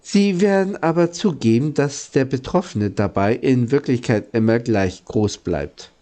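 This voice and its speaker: Man reading as gentle old giant, slow and mellow. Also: medium pitch, animated, close to an everyday voice. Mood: patient, explaining. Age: older. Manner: courteous, earnest, halting explanations.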